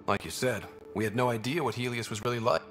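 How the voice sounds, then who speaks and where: An actor playing a young male expedition leader, calm and earnest. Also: mid-range voice, fast talker, faint music behind, close to an everyday voice.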